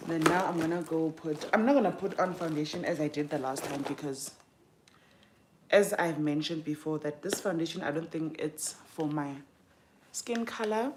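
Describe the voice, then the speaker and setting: A young South African woman hosts a casual livestream in a slightly low voice. She is chatty and informal, thinking aloud as she goes and often letting sentences trail off before picking up a new one. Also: moderate pace, slight background noise. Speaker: livestream host. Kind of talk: casual chat while doing makeup.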